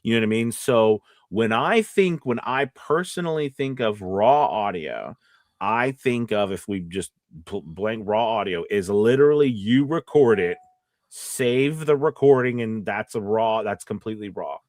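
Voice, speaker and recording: A man hosting a casual live teaching chat on a close mic, rambling a little as he thinks out loud and checks in with his listeners. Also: fairly low pitch, animated, a little slow, no room sound. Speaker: host and voice acting coach.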